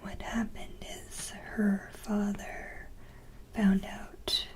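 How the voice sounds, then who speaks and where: A woman host giving an ASMR show-and-tell in a soft, breathy whisper, with a gentle, halting delivery. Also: mid-range voice, little pitch movement.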